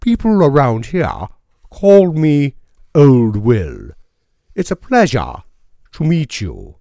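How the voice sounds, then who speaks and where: Creepy polite old bookseller in an audio story, crisp and dry, read by a man, big sweeping pitch. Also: wildly swooping pitch, dark tone, no room sound, full character voice. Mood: courteous, eerie. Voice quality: strong crisp voice with an unplaceable accent. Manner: slow, measured, formally polite. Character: old male bookstore proprietor, gaunt, courteous, eerie.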